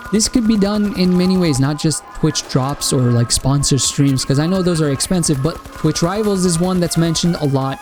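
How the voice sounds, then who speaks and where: A man's voice: a little high, a little mellow. A man giving a casual, opinionated game review straight to his viewers while he is losing his voice. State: losing his voice, dry mouth. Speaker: gaming content creator. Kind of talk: spoken game review and feedback.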